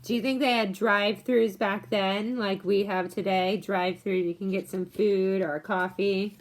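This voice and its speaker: A woman reading a story to children, pausing for casual explanatory asides and questions to her listeners. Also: medium pitch, steady tone.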